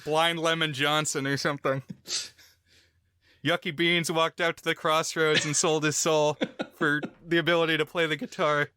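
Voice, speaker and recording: A man's voice: fairly high pitch, level tone, moderate pace, sharp tone, clear, resonant tone, no echo. A man co-hosting a film podcast, recapping the plot with wry, dry humor. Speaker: podcast co-host. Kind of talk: casual chat, recapping a film.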